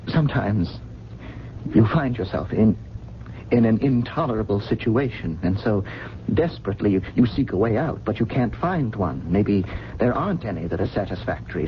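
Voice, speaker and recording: An actor playing a troubled, well-spoken amnesiac drifter, halting and polite, in a 1970s radio drama. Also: male voice, medium pitch, natural voice. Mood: explaining, strained. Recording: dull, noisy vintage radio broadcast recording.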